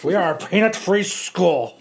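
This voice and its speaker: A man's voice: sits high, expressive, a little slow, vocal fry, full character voice. A man playing a strict, officious school principal in an amateur comic reading. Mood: stern.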